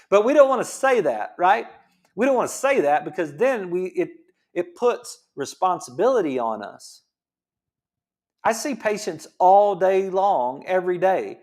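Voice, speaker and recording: A man's voice: high-pitched, very animated, unhurried. A middle-aged man hosting a health show as a chiropractor, talking casually and rambling with many restarts. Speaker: chiropractor host. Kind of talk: casual health talk monologue.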